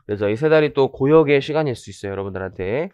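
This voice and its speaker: A hoarse man in his thirties giving a chatty orientation lecture on tax law, with joking asides and many fillers. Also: mid-range voice, expressive, fast, darkish tone, no echo, dull audio. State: hoarse from rhinitis.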